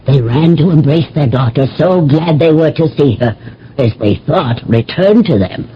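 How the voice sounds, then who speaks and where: A man's voice: a little high, animated, normal speed, a little hiss or hum, natural voice. A man acting a formal, philosophical ancient household slave. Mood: anguished. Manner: formal, storytelling, dramatic. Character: devoted, philosophical male household slave in antiquity. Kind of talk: radio drama acting. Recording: dull old radio, faint music.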